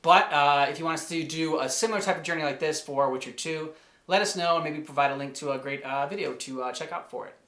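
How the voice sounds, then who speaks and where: A man hosting a reaction channel, chatting informally in a halting, casual way. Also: a little high, pushed and bright. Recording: echoey room.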